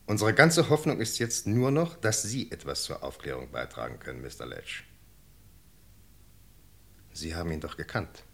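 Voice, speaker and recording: A man's voice: sits low, moderate pace, long pauses. An actor playing an earnest British police sergeant in a 1960 German radio drama, formally polite and insistent as he questions a witness. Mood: urgent pleading.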